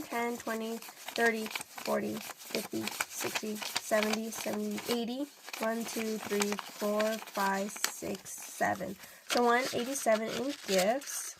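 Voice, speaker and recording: A young woman talks casually and a bit congested, getting over a cold. Her voice sits a little high, and she rambles from story to story as she counts money. A faint hiss runs under the recording. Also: gravelly. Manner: rambling, chatty, lots of "like". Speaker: budgeting vlogger. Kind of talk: casual chatty narration while counting cash.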